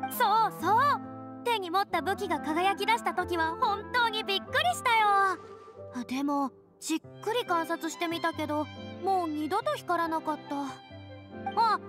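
A woman voicing a theatrical, breezy young lady of high standing in an anime game, with grand, boyish phrasing. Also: lively intonation, normal speed, over music. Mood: breezy satisfaction.

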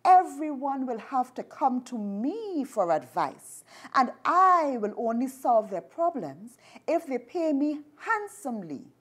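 A woman's voice: sits high, sing-song, slow pace, exaggerated voice. A woman reading aloud the lines of a greedy, scheming male spider-man trickster in a children's story. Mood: gloating.

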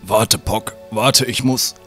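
A man playing a grim, proud, distrustful druid in a fantasy audio drama, gruff and commanding. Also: lowish voice, slow, pushed and bright, soft background music. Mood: weary.

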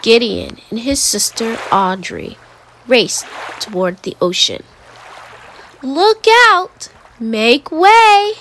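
Unhurried, animated woman reading a storybook. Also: fairly high pitch. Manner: deliberate, dramatic emphasis on single words. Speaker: storybook narrator. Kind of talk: expressive children's read-aloud narration.

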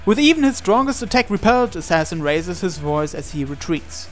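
A man's voice: sits high, very animated, brisk. A man reading a visual novel aloud as a let's player, narrating it dramatically over music. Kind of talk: dramatic read-aloud narration of on-screen text. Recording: music behind the voice.

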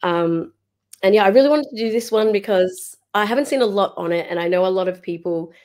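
A woman in her thirties hosting a podcast over a video call, her voice slightly squeaky today, talking hesitantly with lots of fillers and restarts. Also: fairly quick, a little mellow. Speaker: podcast host. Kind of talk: casual chat. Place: room at home. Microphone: video call webcam.

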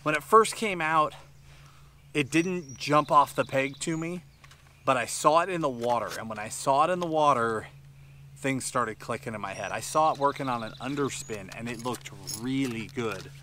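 A man's voice: medium pitch, normal speed, slight background noise. Man teaching fishing from a boat. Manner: explanatory, animated hand gestures. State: sweating in humid heat. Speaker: fishing instructor. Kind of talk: outdoor tutorial to camera. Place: seated on a bass boat outdoors by a tree-lined shore.

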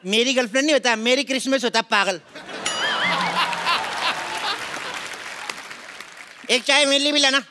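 Shrill brash clownish rowdy. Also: male voice, very fast, crowd noise. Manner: blunt, threatening, clownish. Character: brash, dim-witted male coffee-shop rowdy. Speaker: sketch comedian. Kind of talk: broad stage sketch comedy. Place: large indoor TV studio stage with audience. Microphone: headset. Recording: loud audience, very noisy TV studio.

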